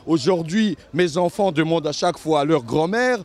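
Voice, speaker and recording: A man's voice: a little high, expressive, normal speed, darkish tone. A middle-aged man from Congo-Brazzaville, speaking with passion in a street interview at an outdoor protest. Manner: impassioned, pleading.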